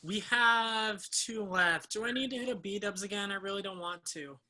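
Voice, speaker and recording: A brash young American woman student acted out by a woman with a bright, forceful voice. She trades blunt, sarcastic, teasing banter in a play reading, over some background noise. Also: mid-range voice, moderate pace, natural voice.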